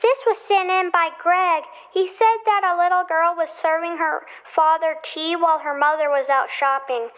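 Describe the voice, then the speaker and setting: Silly little kid joke-teller for radio play played by a female, piping high voice, phone-like sound. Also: steady tone, normal speed, few pauses. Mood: storytelling. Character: silly young kid hosting a gross-out joke podcast. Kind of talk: scripted radio play acting. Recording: tinny phone-like voicemail line.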